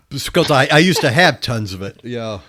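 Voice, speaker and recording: A man's voice: medium pitch, animated, moderate pace, pushed and bright. A man co-hosting a podcast in casual chat, sharing practical hands-on tips.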